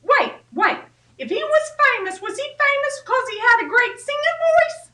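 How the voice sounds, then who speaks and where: A woman's voice: very high voice, sing-song, normal speed, bright, forceful tone, reverberant, full character voice. A woman voicing a brash, folksy country-girl puppet who boasts about her singing, in a children's church puppet show. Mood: boastful. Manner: folksy twang, boastful and teasing.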